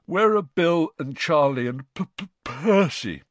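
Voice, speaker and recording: A man's voice: lowish voice, animated, normal speed, a bit bright, no room sound. A man voicing a cheeky, grumbling teenage wizard boy with a British accent in an audiobook. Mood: groggy.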